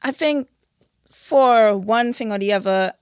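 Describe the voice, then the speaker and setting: A woman's voice: a little high, slow pace, darkish tone, smooth, no echo, clean studio sound. A young woman from Dominica, chatting easily as an interview guest and laughing now and then. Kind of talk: casual interview chat.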